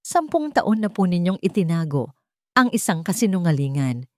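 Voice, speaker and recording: A woman's voice: fairly low pitch, sing-song, moderate pace, mellow, dry recording, clean studio sound. A woman voicing a bold young heir in a story, declaiming accusations against a family. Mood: accusing.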